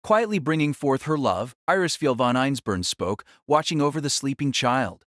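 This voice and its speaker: A man narrating a novel as a dramatic audio reading, solemn and theatrical, with measured phrasing. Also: medium pitch, expressive, a bit bright, dry recording, studio quality.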